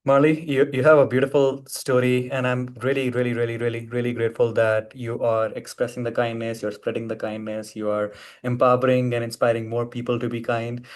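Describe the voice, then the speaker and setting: A man with a medium-pitched voice and little melodic movement hosts a podcast interview. He sounds appreciative and conversational, haltingly repeating words to stress them. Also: little pitch movement. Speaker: podcast host.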